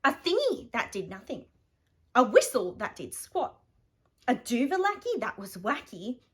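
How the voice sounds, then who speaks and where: An Australian woman reading a children's picture book aloud, animated and dramatic with playful emphasis. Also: fairly high pitch, measured pace. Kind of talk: expressive children's bedtime story reading.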